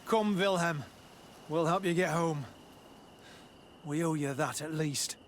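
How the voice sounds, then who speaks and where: An actor playing a dutiful young soldier in a video game, ashamed yet obedient. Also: male voice, fairly high pitch, slow pace, a little hiss or hum.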